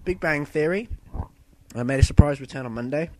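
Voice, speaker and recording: Husky-throated man hosting a podcast. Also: on the high side, a little fast, a little mellow, a little hiss or hum. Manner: chatty, loose and rambling, with fillers and self-corrections. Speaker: podcast host. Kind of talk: casual solo podcast chat.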